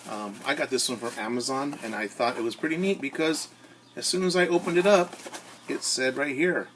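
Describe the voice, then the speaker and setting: Expressive male teacher reading a storybook. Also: mid-range voice, brisk, slight background noise, poor audio. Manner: expressive, questioning, addresses listeners directly. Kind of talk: animated storybook read-aloud with asides to young listeners.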